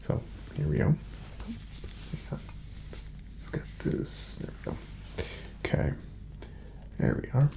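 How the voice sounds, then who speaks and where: A man speaking softly and breathily in an ASMR doctor roleplay, often slipping into a whisper as he gives gentle, reassuring, step-by-step instructions. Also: slow, pauses often, a little hiss or hum, low-quality recording. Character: calm, courteous male doctor examining a patient. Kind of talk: soft-spoken ASMR medical exam roleplay.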